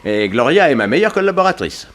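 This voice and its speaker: A man's voice: medium pitch, sing-song, fairly quick, lightly acted. Man playing a chuckly middle-aged bigwig, super polite, voice bouncing all over, old french radio play. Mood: jovial. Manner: hesitant, chuckling, effusive social politeness. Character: jovial, courteous middle-aged textile syndicate president, proud father. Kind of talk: 1960s French radio drama acting.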